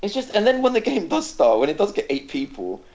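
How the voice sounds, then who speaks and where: A man's voice: sits high, a little fast, crowd noise. Ranting man on a lo-fi podcast. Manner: animated ranting, swears freely. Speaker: podcast co-host. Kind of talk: casual gaming podcast chat. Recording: dull lo-fi podcast audio.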